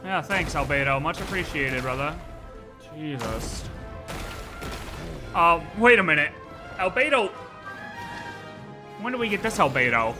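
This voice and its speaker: A man's voice: fairly high pitch, lively intonation, a little slow, pauses often. A man giving casual, playful live game commentary, breaking into exclamations and talking to the characters. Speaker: livestreamer. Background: game music behind the voice.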